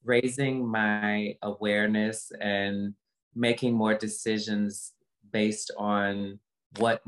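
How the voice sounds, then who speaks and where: A low-pitched woman speaks as a guest in an interview over a video call. She talks reflectively about personal experience, leaning on repetition and emphasis to land each point. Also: little pitch movement, slow, rough recording.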